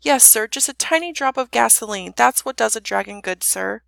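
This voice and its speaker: A woman plays a huge old storybook dragon with a growling rumble. He is gruff but soft-hearted and speaks in humble, deferential phrases. The voice is creaky and pitched up, recorded close and dry. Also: expressive, normal speed, dry recording, full character voice. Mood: humble, grateful.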